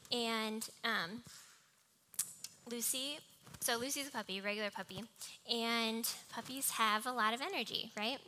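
A woman's voice: a little high, bright, forceful tone, slight background noise. A young woman preaching a sermon with personal anecdotes, in a small, cartoonish voice. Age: young adult. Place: live talk before a congregation.

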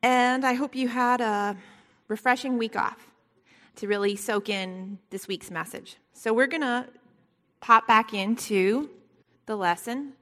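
A soft-spoken woman leading a Bible study lesson, with a prayer and a scripture reading, speaking gently and with frequent ums. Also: a little high, a little slow. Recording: long hall reverb.

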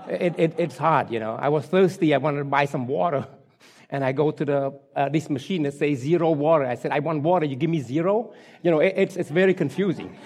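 A man in his sixties from Cambodia, speaking English with a Cambodian accent, gives a halting personal testimony to a church congregation, full of fillers and restarts. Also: fairly high pitch, a little mellow. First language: Khmer. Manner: halting, many fillers and restarts, light self-deprecating laughs.

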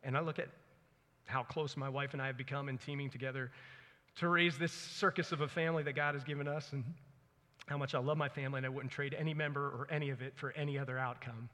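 Bright-voiced man preaching in a reverberant hall. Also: medium pitch, steady tone, fast talker. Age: 40s. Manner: earnest, conversational, dramatizes his own thoughts. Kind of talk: sermon with personal stories. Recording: echoey hall with long reverb.

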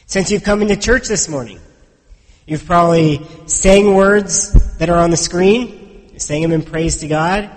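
Hoarse, congested man preaching in a reverberant church. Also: sits high, measured pace. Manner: conversational, asks the congregation questions. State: hoarse from a head cold. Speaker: pastor. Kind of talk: sermon.

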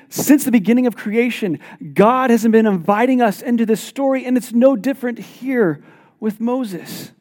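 A man preaching a sermon as pastor, reading scripture aloud and explaining it in an animated, emphatic way. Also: high-pitched. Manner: animated, self-deprecating, emphatic. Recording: long hall reverb.

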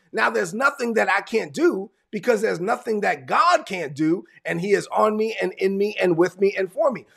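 Gravelly-voiced New preacher. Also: male voice, sits high, fairly bright tone. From New York. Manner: repetitive, emphatic preaching with lists. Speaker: pastor. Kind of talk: sermon.

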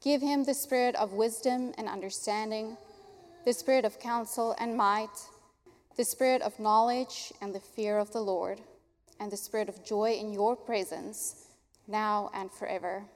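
A young adult woman pastor from Africa, an Afrikaans speaker, leading a baptism prayer in an earnest, warm way. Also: medium pitch, fairly even intonation, unhurried. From South Africa. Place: church sanctuary. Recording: long church hall reverb.

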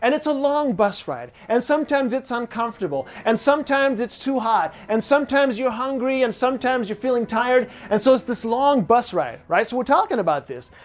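A middle-aged American pastor preaching a Sunday sermon in a church, conversational and repetitive in phrasing. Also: male voice, extremely high pitch, expressive, a little fast, few pauses. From United States. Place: church sanctuary.

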